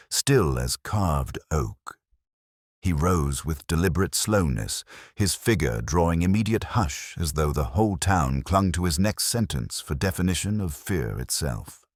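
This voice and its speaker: A man narrating a scripted mystery story in a measured, literary and dramatic way. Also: very deep voice, no room sound, clean studio sound.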